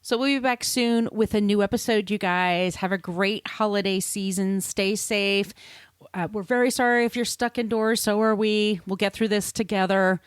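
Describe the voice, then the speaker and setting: A woman's voice: mid-range voice, steady tone, normal speed, clean tone, no room sound. Woman podcast co-host, dry close sound. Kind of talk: casual podcast chat.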